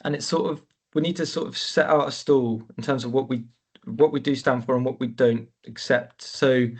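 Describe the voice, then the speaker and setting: A man's voice: medium pitch, fairly even intonation, moderate pace, a little mellow, slightly rough. A man giving a casual update on an online webinar, with lots of "sort of" and "you know", over a video call. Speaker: network member presenting.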